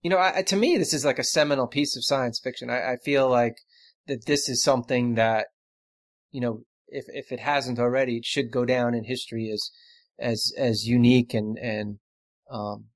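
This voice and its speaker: A man's voice: mid-range voice, steady tone, normal speed, dry recording, clean studio sound. A man speaking as a guest in a casual panel discussion, often searching for his words.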